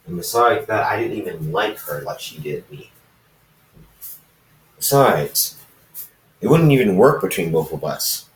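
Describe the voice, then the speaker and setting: A man acts out an ancient immortal hybrid from a fan story, brooding and defensive. The voice is lowish and halting, with slow phrases, in an echoey room. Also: lively intonation. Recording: roomy echo, distant microphone.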